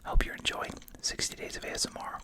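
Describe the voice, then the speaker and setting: An ASMR host speaking entirely in a soft, breathy whisper, musing casually while chewing gum. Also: fast.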